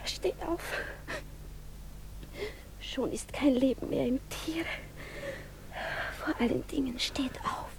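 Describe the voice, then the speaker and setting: An actress playing a noble princess in a radio drama, pious and dignified, speaking in a formal, commanding yet emotional way. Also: female voice, sits high, slow pace, creaky, long pauses, darkish tone, whispered, full character voice. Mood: moved, grateful. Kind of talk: radio drama acting of a literary classic.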